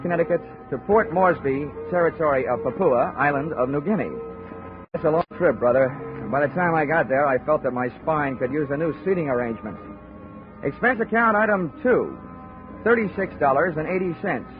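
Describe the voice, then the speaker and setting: A man's voice: fairly high pitch, close to an everyday voice. An actor playing a male insurance investigator, who narrates and delivers dry, wry wisecracks in 1940s radio acting. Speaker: lead and narrator. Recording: tinny old radio broadcast with music.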